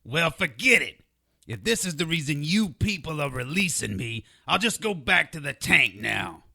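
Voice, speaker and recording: An actor playing a small, foul-mouthed, grumpy gnome-like creature with a raspy voice in an audio drama. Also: male voice, a little high, very animated, normal speed, bright, forceful tone, heavily acted. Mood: bitter. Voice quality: raspy, gravelly. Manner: crude, combative street-tough talk.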